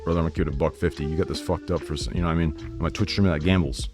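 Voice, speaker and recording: A man's voice: a bass voice, steady tone, rapid-fire, music in the background. A man chatting casually on a livestream as a streamer, blunt, profane and rambling. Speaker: Twitch streamer.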